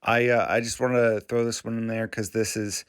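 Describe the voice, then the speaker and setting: A man from Maine hosting his channel, talking casually in a halting, rambling way with frequent restarts. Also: on the low side, flat delivery, clean tone, no echo, studio quality. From Maine, USA. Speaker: YouTube channel host. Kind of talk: casual vlog commentary.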